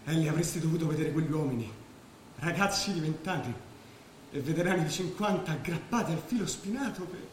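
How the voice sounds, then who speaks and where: A man's voice: on the high side, expressive, brisk, lightly acted. An actor playing a disillusioned war veteran in his late twenties in a stage drama, restless and wistful. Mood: wistful storytelling. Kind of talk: Italian stage drama acting. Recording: very echoey stage, distant, faint noise.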